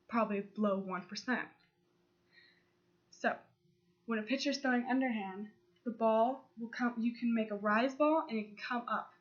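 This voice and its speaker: A woman's voice: mid-range voice, fairly bright tone. A young woman with a cold, giving a persuasive class speech in an earnest way, with restarts and fillers. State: congested from a cold. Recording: slightly dull lo-fi sound.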